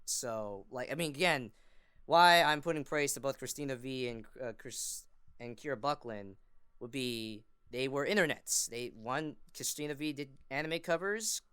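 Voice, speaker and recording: Clear-voiced man chatting enthusiastically. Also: medium pitch, moderate pace, sharp tone, dry recording, clean studio sound. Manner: enthusiastic, rambling, repeats himself. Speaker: podcast guest, amateur voice actor and fandubber. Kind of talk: casual podcast chat.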